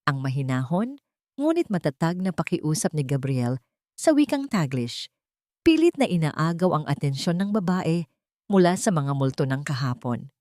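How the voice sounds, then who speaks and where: A woman reading a scripted dramatic audio story as narrator, in a measured, emotive, literary way. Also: sits low, very animated, a little mellow, dry recording, studio quality.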